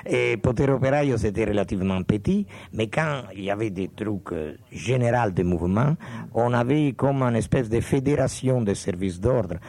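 An older man with a background tells stories in a meandering, digressive way, often losing the thread and coming back to it. His voice sits a little low and has a gravelly edge. The sound is dry and close. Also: expressive, normal speed, darkish tone, no room sound. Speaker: guest. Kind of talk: reminiscing in conversation.

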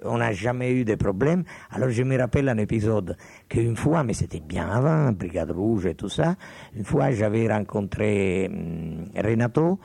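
An older man with a background tells stories in a meandering, digressive way, often losing the thread and coming back to it. His voice sits a little low and has a gravelly edge. The sound is dry and close. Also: lively intonation, normal speed, warm tone, dry recording. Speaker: guest. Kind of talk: reminiscing in conversation.

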